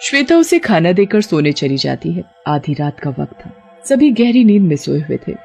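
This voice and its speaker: A woman's voice: on the low side, very animated, moderate pace, creaky, darkish tone, husky, soft background music. A woman narrating a scripted story dramatically as a storyteller.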